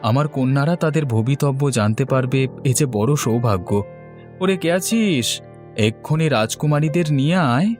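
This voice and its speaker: A man's voice: medium pitch, animated, moderate pace, a little mellow, faint music behind, natural voice. A man playing a devout, anxious king and father in a fairy-tale cartoon, with a theatrical, deferential and pleading manner. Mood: reverent, eager.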